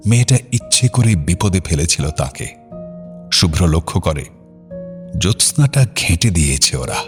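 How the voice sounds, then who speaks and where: A man narrating a scripted audio drama in a measured, somber storytelling manner. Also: deep voice, sing-song, soft background music.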